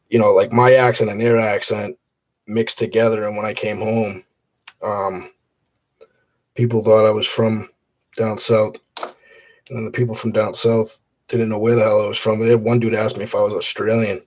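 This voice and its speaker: A man's voice: on the low side, little pitch movement, normal speed, poor audio. A man chatting casually in a live stream while sorting coins, rambling with many fillers and restarts. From Boston area. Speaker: coin roll hunter streaming.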